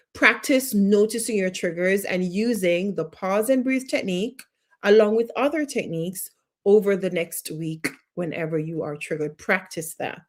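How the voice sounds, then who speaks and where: A woman leads a live-streamed lesson. She speaks in an encouraging, repetitive way, asking the viewers questions and checking in with them. Her voice sits at a middle pitch. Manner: encouraging, repeats short questions, reads out viewer comments. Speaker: life coach teaching a live online class. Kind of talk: live-streamed class, talking to viewers in chat.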